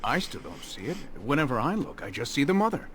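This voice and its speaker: A man's voice: medium pitch, sing-song, fast, background noise, close to an everyday voice. An actor playing an opinionated but kindly older elf man in a fantasy video game. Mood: bickering, insistent.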